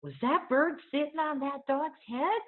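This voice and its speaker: Woman playing an eager high-voiced little five-year-old girl. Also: unhurried, phone-quality sound. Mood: curious, earnest. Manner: childish rural grammar, blunt and eager. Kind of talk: storybook read-aloud character.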